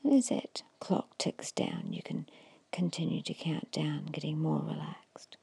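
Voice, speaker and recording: A woman giving an ASMR guided hypnosis in a hushed, breathy whisper, slowly and soothingly instructing with long pauses. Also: low-pitched, fairly even intonation, no room sound. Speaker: hypnotist guiding a meditation.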